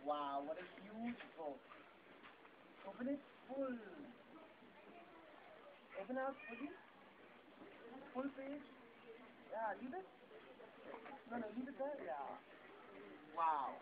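A woman's voice: fairly high pitch, very animated, takes it slowly, pauses often, crowd noise. A woman performing an interactive story for children in a theatrical, playful way, chatting and putting questions to them. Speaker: puppet show performer. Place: live book festival puppet show. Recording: tinny lo-fi phone-like, very noisy event.

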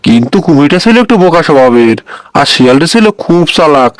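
A man's voice: on the high side, sing-song, fast, no echo. A man narrating a scripted children's folk tale as a storyteller.

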